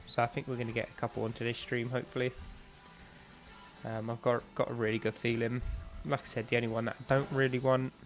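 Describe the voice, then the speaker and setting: Streamer guy, blocked-up nose, monotone-ish, umming along, bit of quiet music behind. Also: medium pitch, fast. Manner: relaxed, rambling, lots of ums. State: stuffy, bunged-up nose. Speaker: game streamer. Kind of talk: casual live-stream chat while playing.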